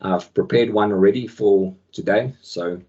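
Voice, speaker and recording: Low husky man hosting a webinar. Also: moderate pace, muffled recording. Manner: relaxed, many ums, friendly filler words. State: croaky from the flu. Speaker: webinar host and product manager. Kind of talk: casual software webinar presentation. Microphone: video call microphone.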